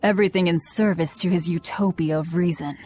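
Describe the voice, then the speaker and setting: A woman's voice: on the low side, fairly even intonation, fairly quick, a little mellow, lightly acted. A woman playing a cynical, bossy young female demon in a video game, curt and sardonic. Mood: bitter, cold. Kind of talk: video game cutscene acting.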